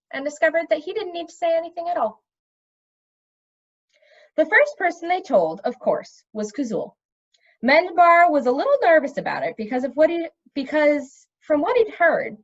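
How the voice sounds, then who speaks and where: A woman reading a fantasy novel aloud as narrator, in an expressive storybook style. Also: sits high, muffled recording.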